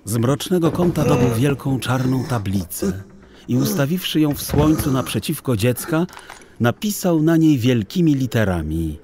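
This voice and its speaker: Guy reading a kids fantasy audiobook, really expressive, voice swings up and down a lot, theatrical. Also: medium pitch, moderate pace, noisy background. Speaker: audiobook narrator. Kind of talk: expressive scripted children's story narration.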